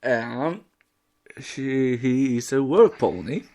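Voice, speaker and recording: A man, a native Swedish speaker, co-hosting a podcast in casual, halting non-native English. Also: mid-range voice, expressive, a little mellow. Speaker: podcast co-host. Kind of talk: casual chat.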